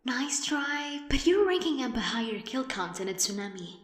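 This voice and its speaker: Brisk, high-voiced sardonic agent. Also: female voice, big pitch swings, a bit bright. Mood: challenging. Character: bossy, quick-witted woman agent on a mission. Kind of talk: science fiction audio drama acting. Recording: echoey hall with long reverb.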